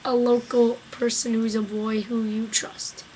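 A woman's voice: a little high, flat delivery, unhurried, a little mellow, a little hiss or hum, voice pushed down, lightly acted, rough recording. Woman doing a blunt monkey cop puppet. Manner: blunt, dismissive. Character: gruff offhand monkey policeman puppet. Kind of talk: homemade puppet show. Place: small bedroom.

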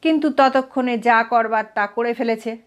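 A woman storyteller giving an expressive scripted reading of a comic short story. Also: on the high side, moderate pace.

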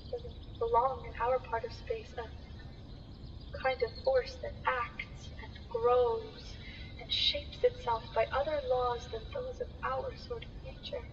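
A woman's voice: on the high side, level tone, slow. Grave scholarly doctor, played by a woman, solemn and declamatory, for radio horror; muffled, distant, reverberant recording. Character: grave, learned doctor warning of otherworldly evil. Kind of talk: theatrical radio drama acting. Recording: muffled, echoing, distant, noisy.

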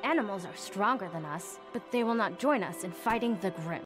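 A woman playing a proud, distrustful human in an animated fairy tale, firm and declaring. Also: medium pitch, fairly quick, over music, lightly acted. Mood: stern, distrustful.

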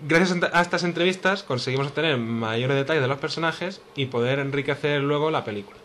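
A man's voice: a little high, fast talker, fairly bright tone, a little hiss or hum. A man from Spain hosting a casual podcast chat among friends, presenting the topic with hesitant fillers. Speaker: podcast host.

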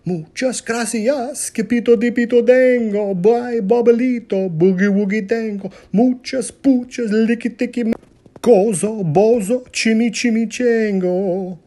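A storybook reader chanting for a gang of male chihuahuas in a loud, bouncy sing-song. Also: mid-range voice, fairly even intonation, unhurried, pitched above the performer's own voice, close to an everyday voice. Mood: jubilant.

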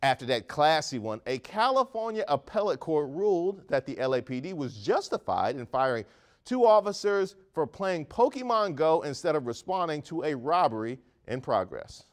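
A man in his thirties hosting a comedic news monologue on a studio set, animated and mock-outraged with jokey asides. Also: fairly high pitch, normal speed. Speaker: host.

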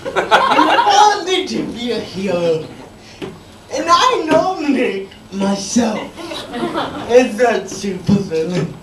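A young man performing stand-up comedy stories in a halting, drawn-out, effortful delivery. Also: extremely high pitch, big pitch swings, a loud crowd. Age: young adult. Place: small dim comedy club stage indoors. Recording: noisy, echoey live recording from far off.

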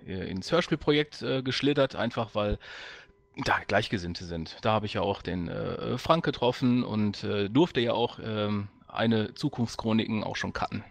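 A man's voice: fairly low pitch, moderate pace, gravelly. A man from the Ruhr area of Germany chatting casually as a podcast guest. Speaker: podcast guest, audio drama maker. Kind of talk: casual chat.

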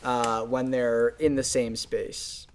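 A man's voice: medium pitch, flat delivery, slow, slight background noise. A young adult man in a casual podcast discussion, picked up on a shared microphone indoors. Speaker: podcast host. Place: indoor room, seated group. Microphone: shared desktop microphone.

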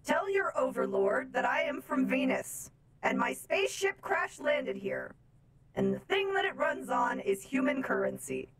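A woman's voice: sits high, wildly swooping pitch, measured pace, slight background noise, heavily acted, low-quality recording. A woman voicing a stilted, literal-minded female robot from space in improvised game roleplay, with formal robotic phrasing. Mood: stilted, haughty.

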